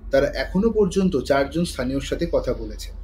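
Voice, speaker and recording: A man's voice: mid-range voice, expressive, darkish tone, soft background music. Suspenseful male thriller narrator. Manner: measured, suspenseful. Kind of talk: dramatic scripted thriller narration.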